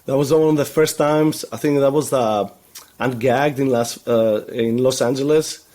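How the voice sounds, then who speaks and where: A man's voice: mid-range voice, normal speed, dark tone. A man from Greece, a guest on a video call, who talks in loose rambling runs with many fillers and restarts and laughs easily. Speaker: guest, web agency founder. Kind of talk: casual video call interview. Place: home room full of toy shelves.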